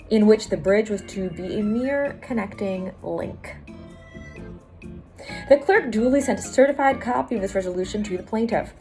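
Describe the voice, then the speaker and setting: A woman with an American accent, a law student giving a measured dramatic reading of a court opinion. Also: medium pitch, darkish tone.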